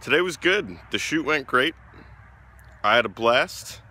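A man's voice: medium pitch, lively intonation, measured pace, sharp tone, slight background noise. A man in his twenties with an American accent, chatting in an upbeat, casual way for a video diary. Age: 20s. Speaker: vlogger.

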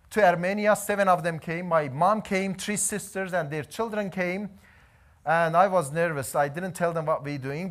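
Male missionary, 30s, lively storytelling testimony, fairly high voice. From Iran. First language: Azeri. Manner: animated storytelling, gesturing while pacing. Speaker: missionary preacher. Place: church hall, indoors.

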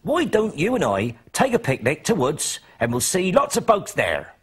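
A man's voice: a little high, huge pitch swings, moderate pace, fairly bright tone, husky, voice pushed up, exaggerated voice. Man doing a homely, chatty storybook gran. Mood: cheerful, encouraging. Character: kindly chatty grandmother in a children's story.

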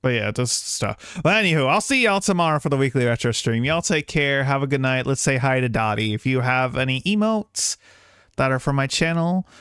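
A man's voice: medium pitch, lively intonation, fairly quick, a bit bright, smooth, no room sound, studio quality. A man chatting casually to viewers as a game streamer, rambling along and swearing now and then. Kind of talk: casual livestream chat to viewers.

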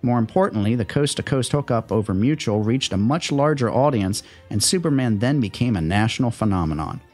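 A man's voice: medium pitch, animated, brisk, darkish tone, faint music behind. A man presenting scripted narration about radio history, as the host of a segment.

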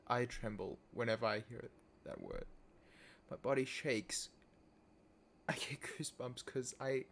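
A man's voice: mid-range voice, a little hiss or hum. A young man chatting casually with a friend on a voice call while loading a game, joking and musing in a mock-dramatic way. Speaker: gaming video creator. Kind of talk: casual chat over voice call.

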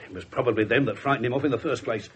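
A man playing a gruff, wry, irreverent English police inspector in a radio drama, blunt and husky. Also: mid-range voice, racing. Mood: wry, grumbling. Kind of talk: 1970s radio drama acting.

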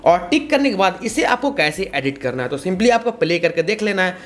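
Young man, tutorial host, lively varied pitch, casual, repetitive step-by-step explaining. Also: brisk, slight background noise.

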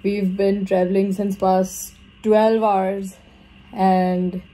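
A woman's voice: medium pitch, level tone, extremely slow, dark tone, poor audio. A young woman with a Pakistani accent, chatting to viewers in a casual travel vlog recorded on a phone at arm's length. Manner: chatty, addresses viewers directly. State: tired after long travel. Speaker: vlogger. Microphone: phone camera at arm's length.

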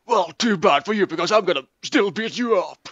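A man's voice: high voice, animated, a little fast, fairly bright tone, no room sound, muffled recording, pitched above the performer's own voice, exaggerated voice. A man voicing a boastful, whining cartoon sword fighter, taunting and complaining in an improvised comedic dub.

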